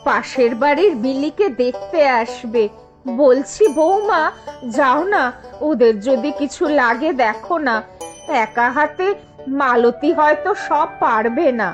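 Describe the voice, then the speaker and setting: A woman voicing a kindly old grandmother in a cartoon, speaking softly and coaxingly. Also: high voice, lively intonation, takes it slowly. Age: older. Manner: soft, coaxing, pleading. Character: kindly old village grandmother, gentle peacemaker. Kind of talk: cartoon voice acting. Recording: dull lo-fi sound with music. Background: music.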